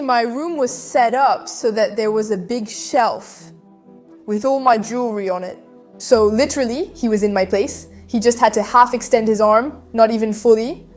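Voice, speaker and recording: A woman with a fairly high voice recounts events in a casual interview. She talks in an animated, conversational way, full of fillers. Faint music sits underneath. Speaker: guest.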